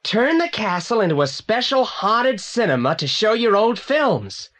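A man's voice: high-pitched, sing-song, measured pace, fairly bright tone, exaggerated voice. A young male actor with a British accent playing a clever, formal, confident boy detective in a children's audio drama. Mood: triumphant.

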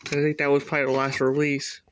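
A man chatting casually in a home video, halting and repeating himself as he shows off a collection. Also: mid-range voice, completely flat delivery, a bit bright. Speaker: cassette collector showing a collection. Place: small bedroom, at a closet, indoors. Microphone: handheld phone camera, speaker behind it. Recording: noisy handheld home recording, clicks.

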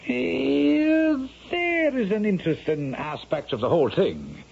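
An actor playing a sly, garrulous Irish storyteller in a radio drama, blustering and stammering with an Irish accent. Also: male voice, high voice, big pitch swings, measured pace, vocal fry, breathy edge, full character voice. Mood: sly, storytelling. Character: sly, garrulous Irish storyteller and anxious old rogue father. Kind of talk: 1970s radio drama acting. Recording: dull, noisy 1970s radio broadcast.

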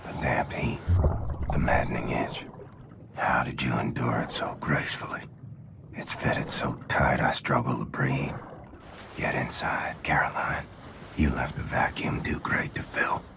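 A man's voice: a bass voice, steady tone, measured pace, vocal fry, in a whisper, exaggerated voice. A man with an American accent playing a weary, dying but defiant soldier in a video game, brooding and often murmuring breathily. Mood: mournful. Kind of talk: video game cutscene acting.

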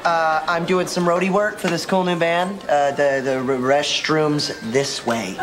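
A man's voice: a little high, steady tone, a little slow, close to an everyday voice. A young man playing a goofy, awkward teenage best friend who stammers in a sitcom. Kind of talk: sitcom acting. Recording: music and studio audience behind.

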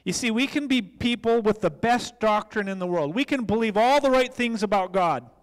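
A man's voice: high voice, animated, moderate pace. A middle-aged American man preaching a sermon as a pastor, speaking into a handheld microphone on a church stage. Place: church stage, large indoor room. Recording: long echoey hall reverb.

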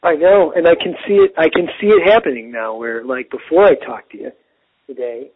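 An American man with a husky voice chatting casually with a friend, agreeable and a little halting. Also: a little high. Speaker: podcast co-host. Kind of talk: casual phone chat between friends. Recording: muffled lo-fi phone-call audio.

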